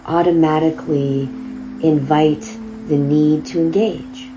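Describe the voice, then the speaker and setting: A woman guiding a body scan meditation, speaking softly with long, drawn-out pauses. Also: sits low, fairly even intonation. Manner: slow, soft, drawn-out phrasing with long pauses. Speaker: meditation guide. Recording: slightly dull lo-fi sound over loud singing bowl music. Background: crystal singing bowls and flowing river.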